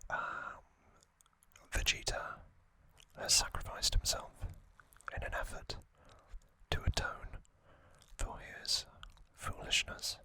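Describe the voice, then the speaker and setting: A man hosting an ASMR series, narrating trivia in a breathy, unvoiced whisper with deliberate short phrases and pauses. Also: sharp tone, poor audio.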